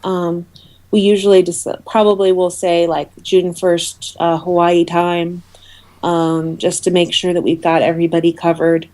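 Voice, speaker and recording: A woman speaking as an interview guest, explaining things informally in a halting way with many ums. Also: on the low side, little pitch movement, a little mellow. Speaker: interview guest, awards organiser.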